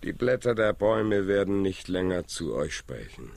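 A man's voice: low-pitched, big pitch swings, normal speed, vocal fry, noisy background, heavily acted. An actor playing an ominous, talkative village workman who delivers rhetorical warnings in a radio drama. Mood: ominous, insistent. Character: one-handed village workman, prophetic, ominous, talkative orator.

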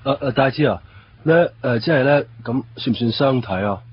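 A man acts out a bumbling, timid buddy in a Hong Kong Cantonese comic radio drama. He stammers and rambles at a middle pitch, with a dull sound and some ambient noise. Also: moderate pace, natural voice. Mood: eager, flustered. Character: bumbling, timid, food-loving male buddy.

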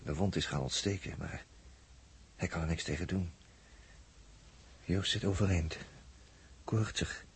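An actor playing a hushed observer in a 1960s radio drama, ominously reporting on a restless sleeper. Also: male voice, low-pitched, a little slow, pauses often, breathy edge, heavily acted. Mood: hushed, watchful. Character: hushed male observer narrating a sleeper's unrest. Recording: faint hiss, old radio recording.

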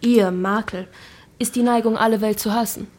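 An actress playing a witty, spirited young Regency gentlewoman in a radio drama, articulate, playful and ironic. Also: fairly high pitch, steady tone, moderate pace, lightly acted. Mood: ironic, challenging. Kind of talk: naturalistic German radio drama acting.

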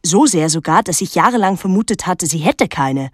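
An actress playing a reflective daughter who narrates a literary radio drama in a measured, introspective way. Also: female voice, on the low side, animated, dry recording, studio quality. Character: reflective daughter recalling her reclusive painter mother.

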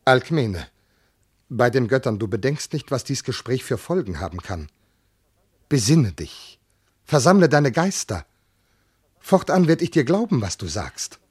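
An actor playing a jealous, tormented noble commander and husband in classical verse radio drama, declaiming intensely. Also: male voice, mid-range voice, very animated, measured pace, pauses often, breathy edge. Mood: agitated. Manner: intense declamation, from hushed to outbursts.

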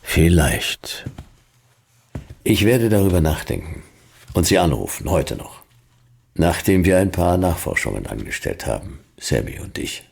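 An actor playing a gruff, world-weary older private detective in a radio play, terse, dry and sardonic. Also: male voice, sits low, big pitch swings, unhurried, a little mellow, full character voice. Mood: grumpy. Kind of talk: German radio play acting with noir narration.

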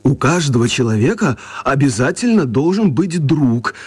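A proud dad in a children's radio play. He has a husky, mid-pitched voice and speaks pompously, stumbling as he goes. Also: sing-song, moderate pace, a little mellow. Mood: pompous, hesitant. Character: proud father giving hesitant, pompous advice.

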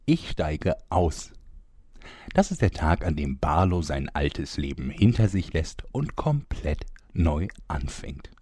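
Man playing a very deep, pompous grumbling narrator. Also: very animated, slow pace, mellow, husky, no room sound, clean studio sound, heavily acted. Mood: offended, grandiose. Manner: theatrical, pompous, self-important. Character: grumbling, vain male narrator fed up with his job. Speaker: narrator of a comedy audio drama. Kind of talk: German comedy audio play, storyteller narration.

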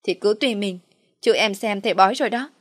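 A woman's voice: mid-range voice, fast, fairly bright tone, no room sound, studio quality, close to an everyday voice. A woman voicing a scheming pregnant wife who scolds or coaxes with calculation in an audio story. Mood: coaxing, sly.

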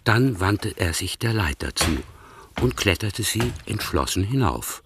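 A man narrating a radio drama in calm, even scripted storytelling. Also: on the low side, measured pace, vocal fry, very noisy.

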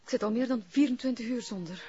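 An actress playing a desperate, submissive drug-addicted cult follower, pleading meekly with a Flemish accent. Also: female voice, fairly high pitch, fast talker, a little hiss or hum, close to an everyday voice. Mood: anxious pleading. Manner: pleading, meek. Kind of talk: Flemish TV crime drama acting. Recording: quiet, dull lo-fi TV audio.

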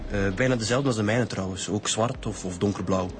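A man's voice: fairly low pitch, fairly quick, close to an everyday voice. Actor as a hesitant Flemish male suspect. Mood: uneasy. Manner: hesitant, defensive. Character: nervous, evasive male murder suspect. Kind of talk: naturalistic radio drama acting. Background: music.

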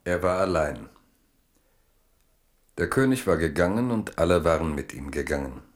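Very deep-voiced man narrating. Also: lively intonation, moderate pace. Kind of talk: scripted literary narration in a radio drama.

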